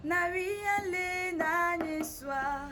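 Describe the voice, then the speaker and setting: A woman singing a gospel song, repeating slow refrains. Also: very high-pitched, fairly even intonation. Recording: loud backing music.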